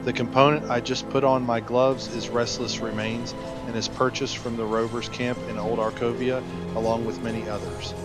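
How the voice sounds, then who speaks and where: A man's voice: mid-range voice, flat delivery, normal speed. A man giving instructional, plainly explanatory commentary as a guide to a video game. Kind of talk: gameplay walkthrough commentary. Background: game music and battle sounds.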